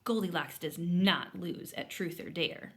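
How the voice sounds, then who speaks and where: A woman's voice: fairly low pitch, unhurried. A woman voicing a cheeky, daring young girl in a storybook, with a sassy, muttering manner. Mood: smug, muttering. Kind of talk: children's story read-aloud.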